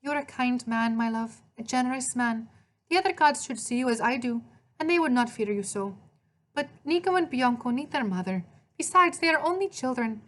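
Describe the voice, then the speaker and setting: A narrator acting a gentle Italian mother from a storybook, her voice lifted high. She is tender and loving, yet firm and fearless, with an Italian accent. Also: expressive, normal speed. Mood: gentle, reassuring.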